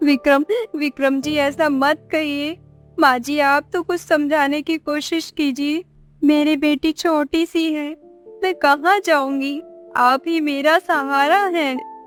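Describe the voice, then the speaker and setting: Plaintive, very high-voiced young mother. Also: fairly even intonation, slow, faint music behind. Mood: pleading. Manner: plaintive, pleading. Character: pleading, helpless young mother, cast out and weary. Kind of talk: acted dialogue in Hindi moral-story cartoon.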